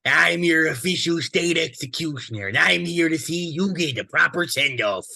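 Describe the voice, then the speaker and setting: A man's voice: a little high, normal speed, vocal fry, pushed and bright, no echo, exaggerated voice. A man playing a smug, commanding villain who goads and taunts in a screenplay read. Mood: gloating. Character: smug, commanding male villain goading others to kill. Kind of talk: dramatic screenplay table read.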